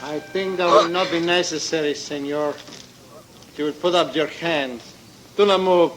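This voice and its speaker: An actor playing a menacing Mexican ex-army sergeant turned bandit in a 1940s radio drama, mock-polite and deliberate, with a Spanish accent. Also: male voice, fairly high pitch, steady tone, background noise, lightly acted. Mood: mock-polite menace.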